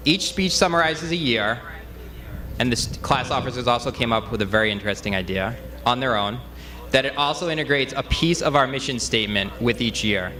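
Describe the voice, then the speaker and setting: A man's voice: medium pitch, fairly quick, sharp tone. A man, a school official, formally introducing speakers at a ceremony.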